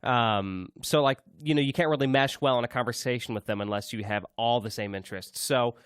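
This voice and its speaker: A man's voice: brisk, smooth, dry recording, studio quality. A young man co-hosting a podcast in casual chat, playful, sometimes imitating instrument sounds. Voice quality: can go very low. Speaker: podcast co-host.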